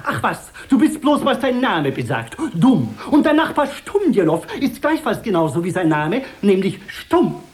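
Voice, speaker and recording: A conceited chatterbox of a royal councillor in a children's radio play. The voice is very high and swoops pompously, with music behind it. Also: male voice, sing-song, normal speed, hardly any pauses. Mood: smug, mocking. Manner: pompous, eloquent.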